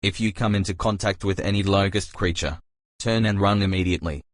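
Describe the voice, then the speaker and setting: A man acting as a solemn emergency broadcast announcer with a very low voice. He delivers scripted alerts in a formal, measured manner, recorded dry with no room sound. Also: fairly even intonation, dry recording, natural voice. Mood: grave, urgent.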